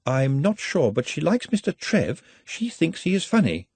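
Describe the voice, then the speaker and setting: A man plays a nervous, bookish male servant in a storybook reading. His voice is lifted and slightly husky, hesitant yet careful and exact, always polite and earnest. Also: fairly high pitch, huge pitch swings, normal speed, a little mellow, dry recording, voice pushed up, full character voice. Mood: gently apologetic. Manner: hesitant, precise, formal, deferential.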